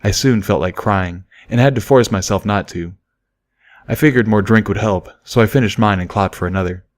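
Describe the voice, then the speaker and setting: Fairly low-voiced man narrating a story. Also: moderate pace. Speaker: audiobook reader. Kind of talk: first-person scripted story narration.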